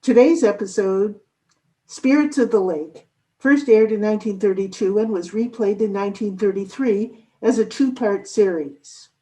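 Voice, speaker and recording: Mellow woman announcer, slightly distant microphone. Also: medium pitch, normal speed. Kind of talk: scripted narration.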